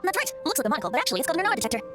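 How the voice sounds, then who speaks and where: A playful, boyish bard from an anime-style game. The voice is high and lilting, with lively swings in pitch and a teasing, theatrical manner. Faint music sits underneath. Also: female voice, very fast. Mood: cheerful, chatty.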